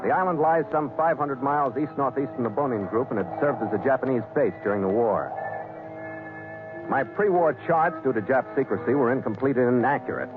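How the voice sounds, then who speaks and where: A thin, narrow-band 1940s radio recording with music carries an actor playing a steady seafaring skipper. He has a medium male voice and tells his sea adventure like a ship's log, in period radio-drama style. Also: medium pitch, brisk, lightly acted. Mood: measured, suspenseful. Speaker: lead actor and narrator.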